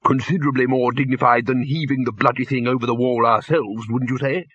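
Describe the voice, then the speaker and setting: A man doing a brisk, practical wizard lecturer. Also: mid-range voice, steady tone, fairly bright tone, breathy edge, dry recording. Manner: brisk, exasperated. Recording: dull lo-fi, dry.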